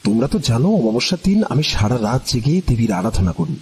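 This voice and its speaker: A man voicing an elderly, knowing tantric storyteller who speaks in a measured, instructive and familiar way in an audio drama. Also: mid-range voice, big pitch swings, a little mellow, slight background noise, voice pushed down, lightly acted. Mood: solemn, instructive. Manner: measured, instructive, familiar address to younger listeners. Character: elderly male tantric storyteller, composed, knowing, quietly amused.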